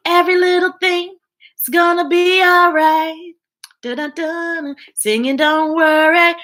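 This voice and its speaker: Brief: American woman, storybook reader on a live stream, high bright voice, sings lines and chats. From United States. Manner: sings the book text with playful scat sounds; chatty asides between readings. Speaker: children's book reader.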